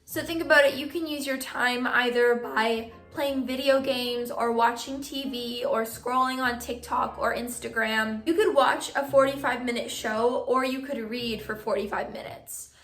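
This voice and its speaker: Young woman, slightly hoarse, higher voice, casual friendly tips, echoey room, soft music underneath. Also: steady tone, moderate pace, few pauses. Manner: friendly advice-giving, filler "like". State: partly lost voice, hoarse. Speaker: vlogger. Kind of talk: casual vlog talk to camera.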